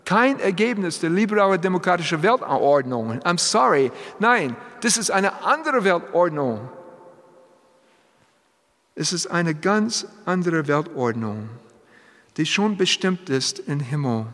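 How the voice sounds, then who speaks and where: A man preaching a sermon in German, which is not his first language; he speaks haltingly and drops in English phrases. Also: high voice, sing-song, a bit bright. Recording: long hall reverb.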